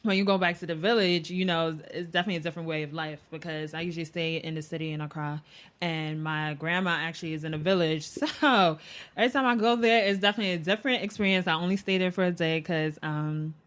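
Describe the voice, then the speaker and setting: A woman's voice: lowish voice, steady tone, fast, fairly bright tone. A woman from Ghana co-hosting a podcast, chatting casually, assertive and teasing, laughing often. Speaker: podcast co-host. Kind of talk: casual chat.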